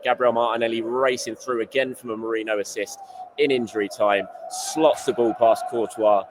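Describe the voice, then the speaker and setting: Excitable football host, low-voiced and going hoarse, rattling off a post-match report at speed in an emptying stadium. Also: male voice, background noise. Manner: fast, rambling and elated. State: losing his voice. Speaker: football journalist and show host.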